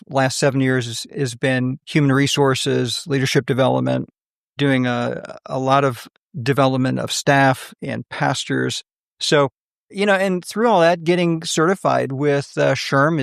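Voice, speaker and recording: Gravelly-voiced man, earnest and reflective. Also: mid-range voice, no echo, studio quality. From United States. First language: English was his second language. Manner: earnest, repeats words when starting a thought. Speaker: podcast guest, leadership coach. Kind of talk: casual interview talk. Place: office.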